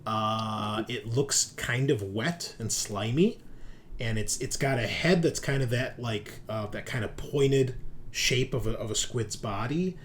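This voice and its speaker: A man working as game master, improvising descriptive narration for a tabletop horror game in a halting way. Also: medium pitch, a little hiss or hum.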